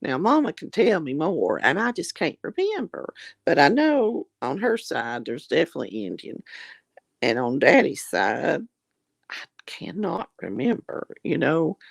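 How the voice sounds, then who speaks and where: A woman chatting casually with her viewers in a folksy, religious way, calling them honey. She keeps clearing her throat as her voice gives out. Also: low-pitched, no echo. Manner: religious, often says Lord have mercy. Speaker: vlogger reading viewer comments. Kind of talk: casual live chat.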